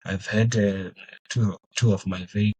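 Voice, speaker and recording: A man's voice: fairly low pitch, steady tone, moderate pace, a little mellow, a little hiss or hum. A young man from Zimbabwe whose first language is Shona, answering interview questions thoughtfully with many fillers and restarts. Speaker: guest.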